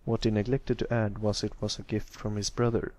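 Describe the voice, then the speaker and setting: A man's voice: fairly low pitch, little pitch movement, a little fast, darkish tone. A man reading a story aloud as scripted narration, as an amateur audiobook reader.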